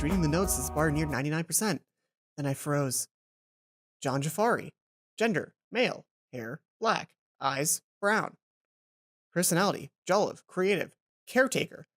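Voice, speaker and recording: A let's play host reading visual novel text aloud and commenting on it, with dramatic, expressive delivery and drawn-out emphasis. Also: mid-range voice. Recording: music behind the voice.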